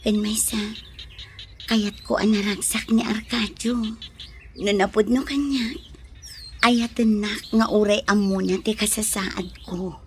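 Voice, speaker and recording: An actress with a Filipino accent playing an earnest, quietly resigned young woman in love in a radio drama. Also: medium pitch, steady tone, slow pace, pauses often, a little hiss or hum. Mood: firm, tender. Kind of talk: Ilocano radio drama acting.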